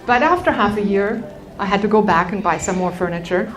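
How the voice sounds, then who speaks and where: A woman's voice: mid-range voice, brisk, poor audio. A woman teaching a motivational seminar, telling stories and addressing her listeners directly as she guides them. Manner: animated storytelling, addressing the audience directly. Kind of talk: motivational workshop talk with anecdotes and guided exercise.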